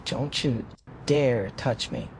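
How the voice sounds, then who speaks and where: A man voicing an entitled, defensive young male rioter in an animated series, curt and indignant. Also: slow pace. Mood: indignant fear. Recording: clear low rumbling background noise.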